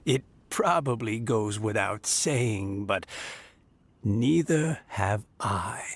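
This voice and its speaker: A kindly old grandfather from a game cutscene, with a creaky voice. He talks very slowly and soothingly, his pitch rising and falling expressively over faint noise. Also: lively intonation, exaggerated voice. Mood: calm, bittersweet. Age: older. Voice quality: gravelly. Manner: slow, soothing. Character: kindly, tired old grandfather, calm and comforting. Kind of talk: dramatic game cutscene acting.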